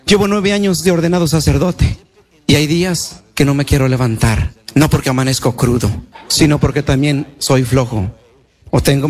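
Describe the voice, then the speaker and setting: A male priest speaks in a notably high voice. He preaches a personal testimony in plain, colloquial and devout words, often repeating a phrase to stress it. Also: darkish tone, husky.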